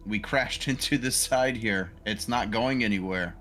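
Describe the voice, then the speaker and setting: A man's voice: fairly low pitch, unhurried, fairly bright tone. A man taking part as a player in a tabletop roleplaying game, talking casually and in character. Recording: faintly noisy room recording.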